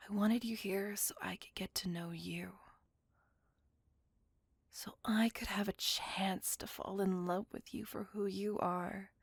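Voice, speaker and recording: A woman playing a courteous, melancholy vampire on a date in an intimate ASMR roleplay, speaking softly and formally in a breathy, whispery voice, tender and wistful. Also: medium pitch, fairly even intonation, measured pace, dry recording. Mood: wistful, yearning.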